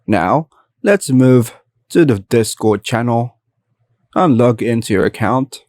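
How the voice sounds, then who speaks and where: A man narrating a scripted step-by-step software tutorial in an even, instructional manner. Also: mid-range voice, slow, no room sound, clean studio sound.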